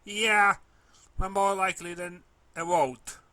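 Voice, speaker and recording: A man acts out a young pegasus filly in a story, upset and defensive. The voice is raised well above an adult man's, forceful and sharp, with tearful, indignant outbursts and a gloomy temper. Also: high-pitched, steady tone, slow pace, no room sound, voice pushed up, heavily acted. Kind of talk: amateur story reading in character.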